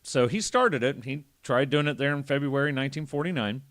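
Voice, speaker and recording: A man's voice: medium pitch, brisk, fairly bright tone. Male podcast host, mid-range voice. Reads a story, drops in irreverent, sweary commentary. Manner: irreverent, profane. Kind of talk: reading a story aloud with casual joking asides.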